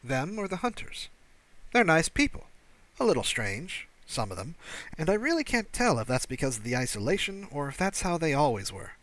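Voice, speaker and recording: A man's voice: fairly high pitch, very animated, moderate pace, a bit bright, voice pushed up. A man voicing a wry, kindly alien rescuer who talks in a breezy, teasing, persuasive way in an audiobook. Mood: reassuring. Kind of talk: audiobook character dialogue.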